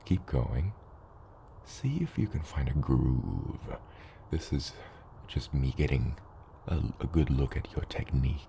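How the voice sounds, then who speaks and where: A man voicing a patient, gently teasing adult dragon father in an ASMR roleplay, with a deep, gravelly, partly whispery voice and a measured, mentoring manner. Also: animated, a little hiss or hum, full character voice. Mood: hesitant, gently critical. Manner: slow, measured mentoring with hesitant asides. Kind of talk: ASMR roleplay, acted monologue to the listener.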